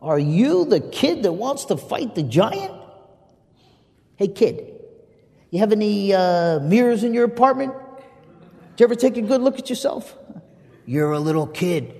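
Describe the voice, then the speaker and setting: A man's voice: sits high, big pitch swings, unhurried, gravelly, pauses often, mellow, pitched above the performer's own voice, full character voice. A man voicing a blunt, doubtful king who scoffs at a boy. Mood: scoffing. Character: doubtful middle-aged king, blunt and scornful. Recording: long echoing hall reverb.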